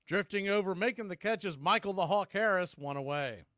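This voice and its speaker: A man calls baseball live, play by play. His voice sits high and sounds clear and smooth. The recording is dry, with hardly any room around it. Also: brisk, a bit bright, no room sound.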